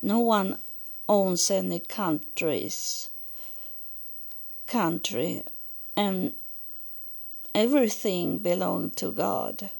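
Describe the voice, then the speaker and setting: Very slow, gravelly Swedish-accented woman. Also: on the low side, darkish tone. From Sweden. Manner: halting, searching for English words, many pauses and fillers. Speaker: podcast host relaying spiritual messages. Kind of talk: slow spiritual monologue.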